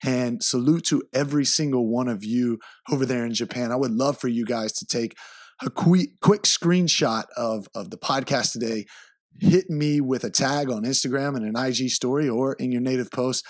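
A man hosting his podcast, giving upbeat, hyped shout-outs to listeners. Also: medium pitch, moderate pace, no echo, clean studio sound. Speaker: podcast host. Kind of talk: solo podcast intro.

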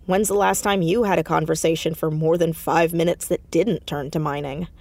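Teenage girl, earnest, stubborn, halting delivery, naturalistic audio drama, very dry sound. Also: sits low, steady tone, gravelly, a little mellow, no room sound. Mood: thoughtful. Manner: hesitant, halting, insistent.

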